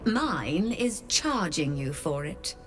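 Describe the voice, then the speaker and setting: Game role: imperious occult merchant, shrewd and haughty, unhurried, highly varied intonation. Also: female voice, very animated, faint music behind, exaggerated voice. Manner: measured, theatrical, haughty. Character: shrewd, imperious infernal merchant woman, latchkeeper of Hells. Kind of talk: video game character acting.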